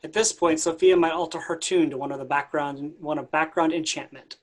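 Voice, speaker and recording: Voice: medium pitch, fairly even intonation, a little fast. A reader narrating stage directions plainly at a staged play reading.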